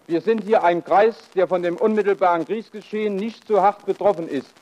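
A man's voice: high voice, steady tone, fairly quick, darkish tone, close to an everyday voice, poor audio. An actor playing a formal committee chairman, giving a stiff, earnest welcome speech with admonitions in a radio play. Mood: formal, admonishing.

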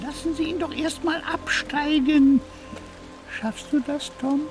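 A woman playing a small, protective, worried ghost companion in a children's radio drama, gently insistent. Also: sits high, fairly even intonation, unhurried, soft background music.

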